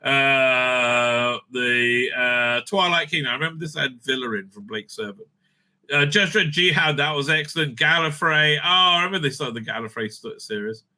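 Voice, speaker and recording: A man's voice: mid-range voice, moderate pace, sharp tone. A man giving rambling, opinionated commentary as a video host, blunt and dismissive, often repeating himself and swearing. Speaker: YouTube host and reviewer.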